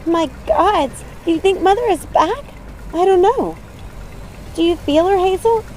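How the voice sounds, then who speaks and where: A woman voicing an excitable, frightened young witch sister in a storybook, urgent and exclaiming. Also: very high voice, a little slow, a little hiss or hum, pitched above the performer's own voice. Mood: alarmed.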